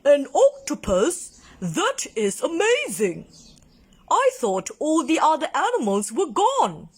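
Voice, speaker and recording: Woman voicing a slow, swooping, earnest storybook whale. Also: sits high, huge pitch swings, voice pushed up, full character voice. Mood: amazed, excited. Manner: drawn-out, exclaiming delivery. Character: big lonely whale, earnest, excitable, slow-spoken.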